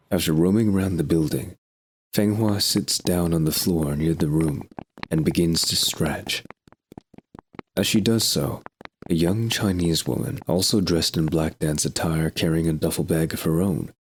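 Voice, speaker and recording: A man's voice: low-pitched, a little slow, breathy edge, poor audio. A man reading scripted narration for an audio drama.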